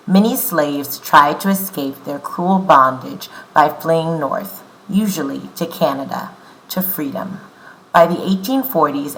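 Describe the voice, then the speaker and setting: A woman's voice: low-pitched, gravelly, bright, forceful tone, poor audio. A woman hosting a read-aloud lesson for children as a teacher, explaining things simply.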